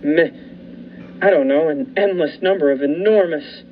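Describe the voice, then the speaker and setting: A man playing a lighthouse keeper who narrates the story in a student radio play, measured and suspenseful. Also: fairly high pitch, natural voice. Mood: tense. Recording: muffled with faint hiss.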